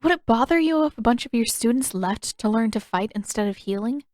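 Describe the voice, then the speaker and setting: A woman's voice: a little high, expressive, a little fast, darkish tone, no echo, clean studio sound, lightly acted. A woman voicing an earnest, determined teenage girl in an audiobook story, hesitant at times yet stubborn. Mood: unsure.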